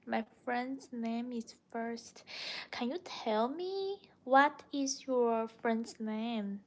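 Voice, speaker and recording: Young woman, Chinese accent, native Mandarin speaker, fairly high voice, very unhurried pace, casual livestream chat. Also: a little mellow. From Chongqing, China. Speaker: livestream host, language teacher.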